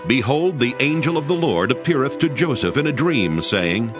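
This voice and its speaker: A man narrating scripture from a script in a solemn, expressive style. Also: mid-range voice, moderate pace. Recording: music behind the narration. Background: music.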